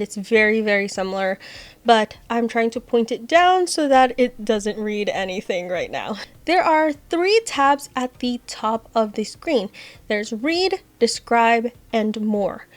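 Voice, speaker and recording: A woman's voice: a little high, sing-song, measured pace. A woman hosting a tech vlog, talking casually through an app demonstration. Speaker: host of an accessibility tech channel.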